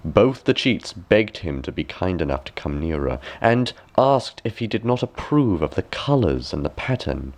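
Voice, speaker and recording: A man reading a fairy tale aloud as an expressive storyteller, with measured, deliberate phrasing. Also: deep voice.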